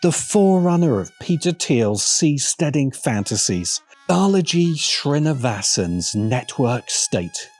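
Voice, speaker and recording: A man's voice: medium pitch, a little mellow. A man delivering scripted, opinionated commentary in an emphatic, sardonic way. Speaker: video essayist. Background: music.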